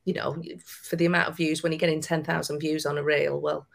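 A woman's voice: low-pitched, flat delivery, a little fast, creaky. A woman with a broad Lancashire accent, a podcast guest giving encouraging, emphatic advice. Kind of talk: podcast interview.

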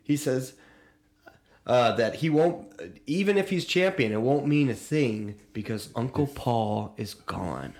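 Male podcast co-host, average pitch, informal and crude-humored recap chat, echoey room sound. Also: lively intonation, measured pace, a little mellow. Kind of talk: casual chat recapping a wrestling show.

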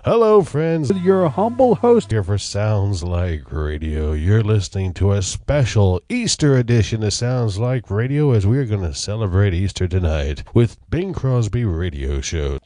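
A male radio host with an unhurried delivery and a mellow, relaxed voice. He introduces the show in a jovial, folksy, mock-formal manner, addressing listeners like friends. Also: on the low side, dry recording, studio quality. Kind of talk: folksy scripted show introduction over music.

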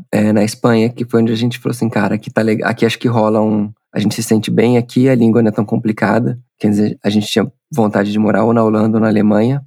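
Brazilian man, forties, quick rambling speech, gravelly, husky, dark low voice. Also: no room sound, clean studio sound. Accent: Brazilian Portuguese. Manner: rambling, many restarts and fillers. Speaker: podcast guest. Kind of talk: casual interview storytelling.

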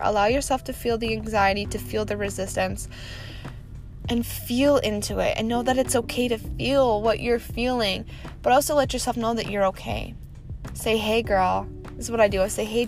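A woman hosting her own motivational podcast, upbeat and encouraging, laughing often, a little snuffly from a cold. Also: medium pitch. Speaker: podcast host. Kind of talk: motivational solo podcast talk.

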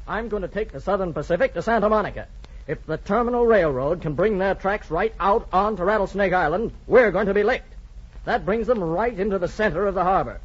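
An actor playing a determined, hard-driving railroad magnate, firm and emphatic, in a 1940s radio drama. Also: male voice, high voice, a little fast, breathy edge. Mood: resolute. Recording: muffled 1940s radio recording.

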